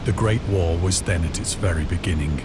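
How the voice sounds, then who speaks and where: An actor playing a despairing, morphine-addicted former seaman, narrating a horror game in a grave, measured, formal manner. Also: low-pitched, loud music behind the voice, lightly acted. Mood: somber recollection. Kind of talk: dramatic first-person horror narration.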